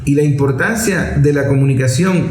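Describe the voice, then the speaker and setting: A Venezuelan man hosting a program, explaining political commentary in a didactic way with emphatic pauses. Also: on the high side. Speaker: radio program host. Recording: echoey room sound.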